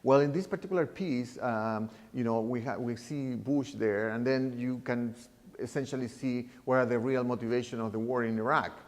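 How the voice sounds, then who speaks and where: A man in his fifties from Chile, talking reflectively about his work as an artist, halting and often restarting his phrases as he searches for words. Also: medium pitch. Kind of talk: reflective interview talk. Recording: reverberant room sound.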